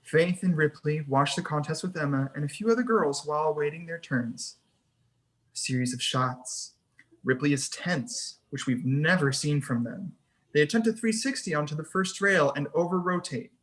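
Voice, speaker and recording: A narrator at a screenplay table read, with a voice of medium pitch. The delivery is scripted narration of scene headings and action. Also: moderate pace, fairly bright tone. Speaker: narrator reading stage directions.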